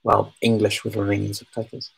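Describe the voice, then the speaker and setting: A man's voice: on the low side, monotone, brisk, creaky, fairly bright tone, slightly rough, rough recording. A man answering viewer questions in a casual live chat, talking hesitantly with many ums and restarts. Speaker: language-learning streamer answering viewer questions.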